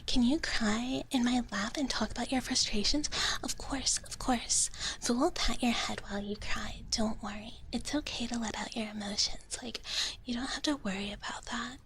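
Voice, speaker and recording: Lady playing cute dragon big sis whispering asmr on stream, soft breathy, kinda high, playful, some noise behind. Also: normal speed, rough recording. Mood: soothing, playful. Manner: sweet, hesitant, bubbly, speaks of herself in third person. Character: cute, playful young female wish dragon big sister. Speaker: livestream host. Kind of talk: live ASMR roleplay, whispered chat to viewers. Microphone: close ASMR microphone.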